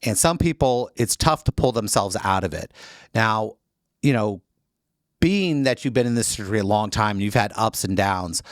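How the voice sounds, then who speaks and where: A man with a fairly low voice hosts an interview, the sound dry and close. He asks long, rambling questions in an easy conversational way. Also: expressive, moderate pace, no room sound. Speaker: interview host.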